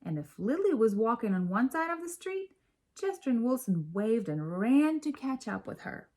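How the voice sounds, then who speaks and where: A woman reading a children's story aloud in an expressive, measured storytelling manner. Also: a little high. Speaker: storybook reader.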